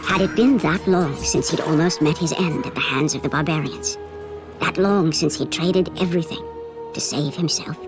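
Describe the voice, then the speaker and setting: An actress playing a solemn, portentous narrator of a Greek epic in a video game, with deliberate dramatic emphasis. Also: female voice, low voice, lightly acted. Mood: grave, portentous. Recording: loud dramatic music underneath.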